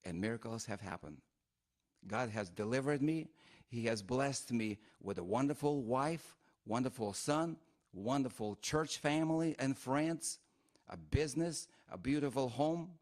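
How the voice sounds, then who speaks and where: A man from Lithuania, a native Russian speaker with an Eastern European accent, giving a fervent church testimony in slow, broken English. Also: mid-range voice, darkish tone.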